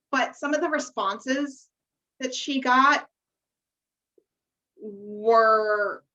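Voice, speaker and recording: A woman's voice: a little high, sharp tone. A young American woman co-hosting a casual podcast chat, on slightly dull lo-fi audio. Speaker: podcast co-host. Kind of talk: casual chat, discussing reality TV.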